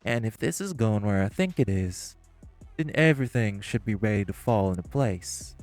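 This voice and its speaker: A man's voice: fairly low pitch, lively intonation, moderate pace, a little mellow, soft background music, natural voice. A man playing a smug, scheming gangster. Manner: smug, mocking, sly. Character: smug, scheming male gangster, sly and teasing. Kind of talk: audio roleplay acting.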